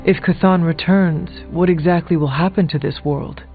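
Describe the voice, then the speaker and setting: An actor playing a brooding, dry-witted warrior ally in a video game, speaking formally and deliberately. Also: male voice, fairly high pitch, fairly even intonation, lightly acted. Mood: sombre, questioning. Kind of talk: video game character dialogue. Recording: dull band-limited game audio, music behind.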